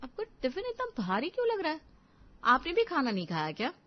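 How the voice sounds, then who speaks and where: A woman voicing a stubborn, argumentative young mother and housewife in an animated family story. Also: high-pitched, fairly quick, lo-fi sound, pitched above the performer's own voice. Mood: defensive. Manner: argumentative, insistent.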